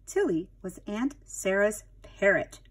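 A woman's voice: mid-range voice, animated, measured pace, breathy edge. A woman narrating a children's storybook expressively, dramatic and addressing young listeners like a teacher.

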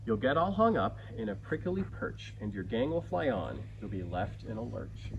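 A man's voice: lowish voice, sing-song, normal speed, lo-fi sound. A man reading a rhyming children's picture book aloud with theatrical, dramatic emphasis, like a naturalist storyteller. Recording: outdoor recording with background ambience noise.

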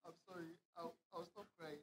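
A very high-voiced man, played by an actor, as a jail visitor's emotional brother. He pleads softly and turns frantic. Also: animated, a little fast, poor audio. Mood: tearful. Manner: soft pleading, then frantic shouting. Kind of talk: comedy skit acting.

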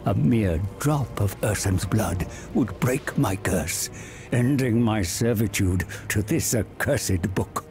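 Grave, scheming old scholar, slow-spoken. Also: male voice, fairly low pitch, expressive, over music. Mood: brooding, scheming. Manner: grave, theatrical, deliberate. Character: weary, scheming old scholar cursed to serve a tome. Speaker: narrator and advisor character. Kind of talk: dramatic game cinematic narration.

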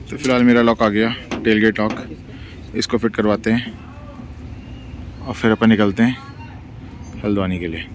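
A male travel vlogger chatting casually and playfully to his viewers, mixing English words into his talk. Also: on the low side.

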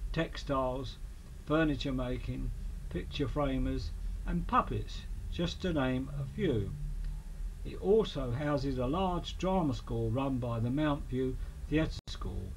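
A man with an English accent reading a scripted local history documentary in a measured manner. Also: mid-range voice, a little mellow. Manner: measured, listing names and dates. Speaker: narrator of a local history film. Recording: clearly noisy amateur voice-over recording.

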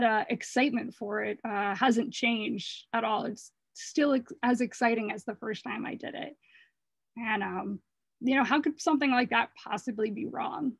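A hoarse woman talking casually about her art over slides, earnest and rambling, with frequent restarts. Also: fairly high pitch, dry recording. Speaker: artist presenting her work.

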